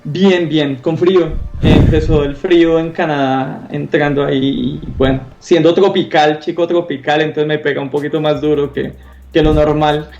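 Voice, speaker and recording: Colombian male interview guest, thirties, higher-set voice, enthusiastic and emphatic conversational delivery, slightly dull lo-fi sound. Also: steady tone, moderate pace, a little mellow. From Popayán, southern Colombia. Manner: enthusiastic, emphatic, often adds a questioning "¿sí?". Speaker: interview guest, concept artist.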